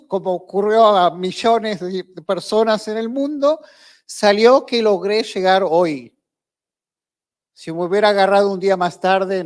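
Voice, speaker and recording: Older Argentine male academic, high voice, easygoing lecture delivery with frequent hesitations. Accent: Argentine Spanish. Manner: relaxed, conversational, with hesitant fillers. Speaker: professor giving a closing lecture.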